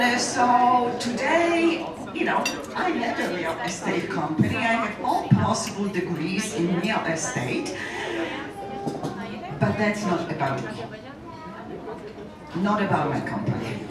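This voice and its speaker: An older woman from Poland with a Polish accent gives an informal, self-deprecating, joking speech as a benefit sponsor to an audience. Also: medium pitch. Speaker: event sponsor giving a speech. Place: large event room with guests at tables. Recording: echoey hall, distant mic, loud crowd.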